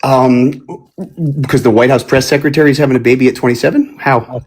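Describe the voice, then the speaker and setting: A man hosting a casual live-streamed panel debate, animated and given to loud exclamations of disbelief. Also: mid-range voice, a little fast, slightly rough. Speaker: panel host. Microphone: phone or computer mic on a live stream.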